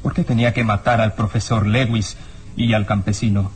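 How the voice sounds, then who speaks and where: An actor playing a serious, worried police inspector in a radio serial, with a sober, brooding, formal manner. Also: male voice, on the low side, level tone, moderate pace, lightly acted. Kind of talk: radio serial drama acting.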